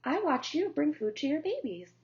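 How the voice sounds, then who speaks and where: A woman's voice: high voice, big pitch swings, normal speed, darkish tone, voice pushed up. A woman voicing an earnest, polite young female bee in a children's storybook reading. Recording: dull lo-fi recording.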